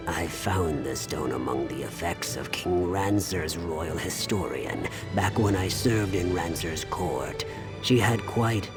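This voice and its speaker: Very deep, scheming scholarly courtier. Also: male voice, expressive, slow pace. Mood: sly, coaxing. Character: scheming scholarly courtier, coolly fascinated by dark relics. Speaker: video game voice actor. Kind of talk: dramatic game dialogue. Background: prominent music.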